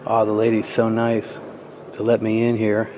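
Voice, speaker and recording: A man's voice: lowish voice, completely flat delivery, moderate pace. A man giving a casual, awed commentary to himself as he films a handheld video tour inside a church. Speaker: amateur videographer and music fan. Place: inside a church beside the organ. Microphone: handheld camera, operator behind it. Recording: clear background noise, handheld camera audio.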